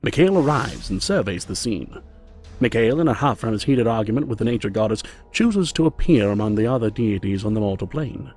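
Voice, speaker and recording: A man narrating a scripted fantasy audio drama as a measured storyteller. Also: on the low side, expressive, darkish tone, natural voice. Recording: music under the voice.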